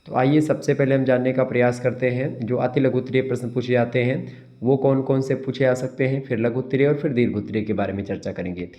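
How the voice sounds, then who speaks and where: A man's voice: mid-range voice, dark tone. A man with a sore throat giving an exam-prep lecture, repeating key terms and answering his own questions. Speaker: teacher. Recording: dull lo-fi sound.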